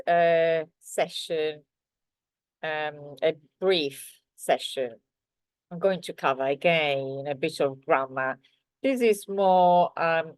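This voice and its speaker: A woman's voice: deep voice, a little mellow, no room sound. A woman from Sardinia with an Italian accent, a native Italian speaker, teaching an online lesson in a hesitant way full of fillers. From Sardinia, Italy. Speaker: language teacher.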